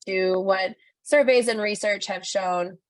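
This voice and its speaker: A woman's voice: mid-range voice, a little slow, dry recording. A woman facilitating an online workshop over a video call, upbeat and speaking with frequent um fillers. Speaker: webinar facilitator.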